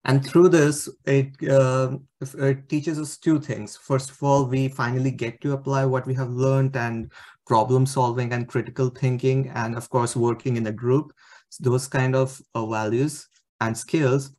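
A man's voice: medium pitch, level tone, unhurried, darkish tone. Hesitant young man presenting slides. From India. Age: young adult. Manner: hesitant, many fillers. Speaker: student presenter. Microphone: webcam on video call.

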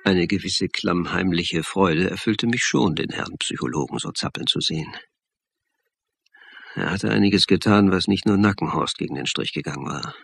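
An actor playing a calm, wry police lecturer and investigator in a radio crime drama, with a husky voice. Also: male voice, low-pitched, normal speed, no room sound, exaggerated voice. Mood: wry, reflective. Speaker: actor, narrator and lead. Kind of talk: radio crime drama acting and first-person narration.

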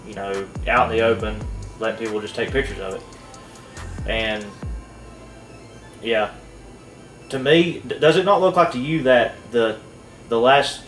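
A man's voice: mid-range voice, a bit bright, reverberant. A young man co-hosting a casual video review, skeptical and hedging, thinking aloud with many fillers. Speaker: co-host. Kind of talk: casual video analysis chat. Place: small indoor room at a table.

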